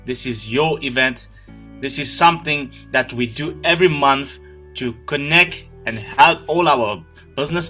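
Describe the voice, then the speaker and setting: A man hosts an online business webinar, warmly calling out attendees one by one. His voice is bright and forceful. Faint music plays behind him on the video call. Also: mid-range voice. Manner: friendly, greets attendees by name. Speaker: webinar host. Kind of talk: welcoming webinar hosting.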